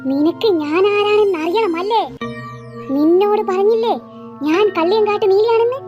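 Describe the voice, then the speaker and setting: Woman acting as possessed little girl ghost, very high voice, slow taunts, bloodthirsty menace, comic horror cartoon. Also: highs cut off, music in the background. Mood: taunting, menacing.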